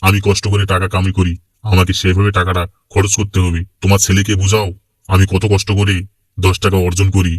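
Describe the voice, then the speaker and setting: A man's voice: sits low, completely flat delivery, fairly quick, no room sound, close to an everyday voice. Man playing a stingy, preachy cartoon father. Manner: indignant, preachy. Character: stingy, hardworking village father, lecturing and grumbling. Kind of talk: amateur cartoon voice acting in Bengali.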